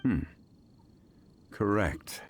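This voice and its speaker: A man playing a composed, scholarly gentleman in a video game, speaking in a measured, formal and precise way. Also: very noisy. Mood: calm, analytical.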